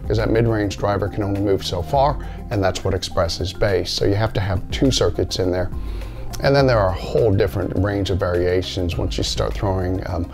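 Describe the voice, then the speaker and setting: Middle-aged male presenter, lowish pitch, informal step-by-step explainer, music underneath. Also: fairly quick. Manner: plain-spoken, explains step by step with examples. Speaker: company presenter explaining audio basics. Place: indoor listening room, seated.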